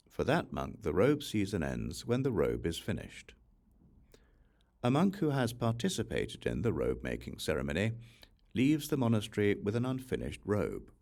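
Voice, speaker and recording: A man reading scripture aloud as an audiobook narrator, in a measured, formal manner. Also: low-pitched, creaky, darkish tone. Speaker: audiobook reader.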